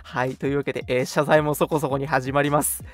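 A man's voice: mid-range voice, little pitch movement, quick pace, soft background music. A man co-hosting a live radio show in a casual, chatty, jokingly self-deprecating way.

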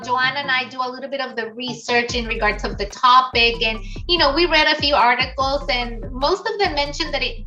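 A middle-aged woman host with a high, bright voice reads out a formal welcome and asks questions of her guest. She sits back from the mic on a video call, and soft music plays faintly behind her. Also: distant mic, rough recording. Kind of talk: scripted introduction and interview questions.

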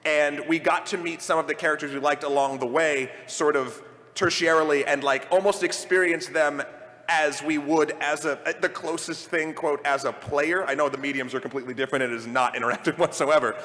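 Man, higher voice, live convention panel, informal, emphatic, strong hall reverb. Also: steady tone, a little fast, fairly bright tone. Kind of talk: animated panel discussion about games and films. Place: large indoor convention panel room. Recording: echoey large hall, live panel sound.

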